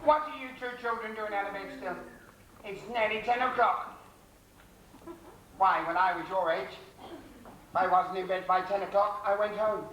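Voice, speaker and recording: A man playing a bossy old nursemaid dame in an amateur pantomime, scolding in a brisk, comic way. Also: sits high, pushed and bright. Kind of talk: amateur pantomime stage acting. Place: large theatre stage. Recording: echoey theatre from far off, audience noise.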